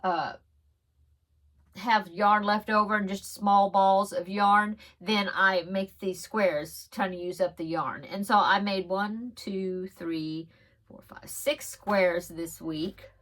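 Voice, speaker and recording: A woman's voice: medium pitch, steady tone, slow, fairly bright tone. Chatty woman with a stuffy nose. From Texas. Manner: chatty, giggly, rambling with frequent fillers. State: stuffy nose on one side. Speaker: crochet podcast host. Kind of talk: casual show-and-tell chat.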